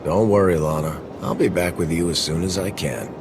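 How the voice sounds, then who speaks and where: An actor playing a calm male military commander with steady, dry humor. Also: low-pitched, brisk, background noise. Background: music score.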